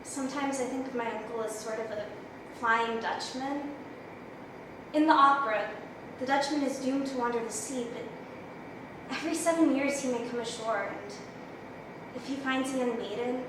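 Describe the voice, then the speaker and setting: A woman performing a dramatic stage monologue as a grown woman haunted by memories, telling her story in a measured, reflective way. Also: fairly high pitch, close to an everyday voice. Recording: roomy echo, distant mic, faint noise.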